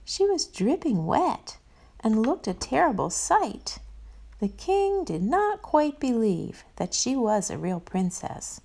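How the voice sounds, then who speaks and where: A woman reads a picture book aloud to small children. Her pace is slow and her pitch very lively, with an expressive, playful storyteller's delivery. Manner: expressive, addresses listeners playfully.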